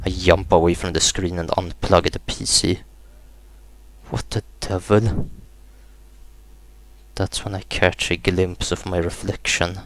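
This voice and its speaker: A man reading the text of a visual novel aloud in a measured, halting way, with pauses between phrases. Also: low-pitched, little pitch movement. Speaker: gaming video host reading aloud. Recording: loud music behind the voice. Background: loud game music.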